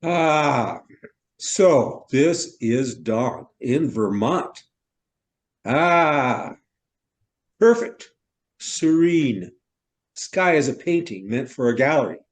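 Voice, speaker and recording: A man acts out an effusive middle-aged novelist in a stage reading. He is theatrical and wordy, given to exclaiming, in a voice of middling pitch. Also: lively intonation, very slow, long pauses. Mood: rapturous. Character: effusive middle-aged male novelist, newly arrived from New York. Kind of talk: stage reader's theater acting.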